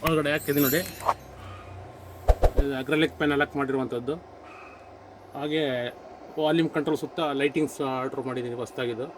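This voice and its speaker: A man's voice: medium pitch, steady tone, brisk, pauses often, background noise. A man presenting a casual vlog as host and audio installer.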